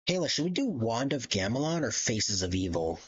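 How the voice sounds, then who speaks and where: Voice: mid-range voice, sing-song, fairly quick, husky, dull audio. A live streamer chatting casually in a rambling, giggly way and swearing freely. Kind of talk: casual livestream chat.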